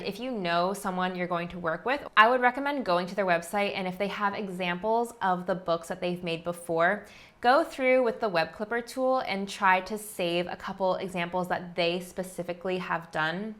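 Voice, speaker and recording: A woman artist talking through her book planning in a casual, explanatory vlog voice, often filling gaps with "like". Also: mid-range voice. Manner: relaxed, chatty, frequent "like" fillers. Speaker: artist and vlogger.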